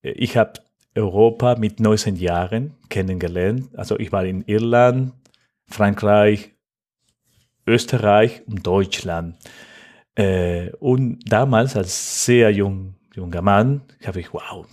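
Argentine man, low voice, halting German. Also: a little mellow. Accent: Argentine Spanish. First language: Spanish. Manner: halting non-native German, searching for words. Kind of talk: conversational interview answers.

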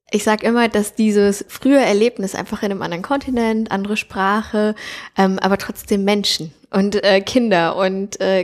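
German woman in her thirties, chatty. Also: mid-range voice. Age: 30s. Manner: relaxed storytelling with frequent fillers and laughs. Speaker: guest. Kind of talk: conversational interview. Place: coworking space.

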